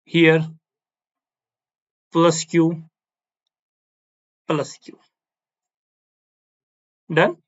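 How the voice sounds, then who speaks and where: A man's voice: a little high, completely flat delivery, extremely slow, pauses often, dull audio. A man whose first language is Kashmiri, teaching physics on a board and repeating key phrases, often checking with "no?". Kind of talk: blackboard physics lecture.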